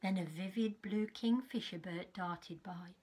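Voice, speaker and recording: A woman in her fifties reads a children's story in a measured, expressive way, with a slightly husky voice. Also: lowish voice. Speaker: teacher reading a storybook to young children. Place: small furnished room at home, indoors.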